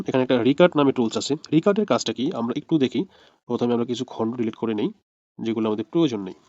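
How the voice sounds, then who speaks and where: A man's voice: medium pitch, a little mellow, dry recording, muffled recording. A man narrating a screen tutorial as an instructor, explaining step by step and repeating his points. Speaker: video editing instructor.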